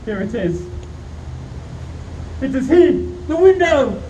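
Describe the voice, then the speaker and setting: High-pitched, commanding vampire-hunting professor played by a man. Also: sing-song, slow, warm tone, highs cut off, heavily acted. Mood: urgent, alarmed. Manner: grave, emphatic, theatrical. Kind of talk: 1930s-style radio play acting. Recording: echoey hall, distant mic, background noise.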